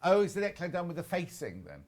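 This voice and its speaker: A man's voice: sits high, wildly swooping pitch, a little mellow. Chatty British male shopping channel host. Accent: British English. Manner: chatty, quick and jokey. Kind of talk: casual chat while sewing on air.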